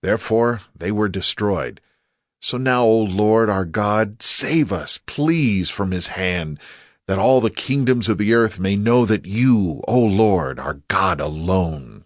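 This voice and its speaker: A man's voice: lowish voice, expressive, slow, no echo, studio quality. A man playing a devout, humble king who solemnly pleads in prayer in a dramatized scripture reading. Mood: solemn pleading. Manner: solemn, imploring.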